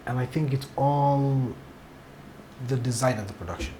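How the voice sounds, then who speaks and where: A man's voice: mid-range voice, normal speed, dark tone, echoey room, a little hiss or hum. A man from Kenya, a TV series director, giving frank, emphatic opinions in a sit-down interview.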